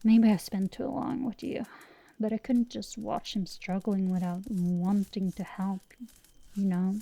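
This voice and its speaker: A woman playing a brash but secretly tender dragon girl sorceress in an intimate ASMR roleplay, soft and sometimes whispering, teasing and affectionate, close to the mic. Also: mid-range voice, fairly even intonation, unhurried, dry recording. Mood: tender, sincere. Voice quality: soft, breathy whisper at times.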